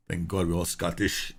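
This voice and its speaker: Male streamer from Singapore, slightly low-pitched, relaxed running commentary. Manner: chatty, laughs often, reads game messages aloud. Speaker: gaming streamer. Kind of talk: casual live game commentary.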